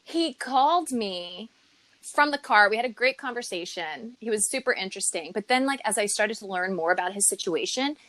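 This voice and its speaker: A woman's voice: medium pitch, lively intonation, moderate pace, a bit bright, no room sound. A woman in her forties telling dating stories in a casual chat, full of likes and ums. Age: 40s. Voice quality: chatty storyteller, many filler likes and ums. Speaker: guest.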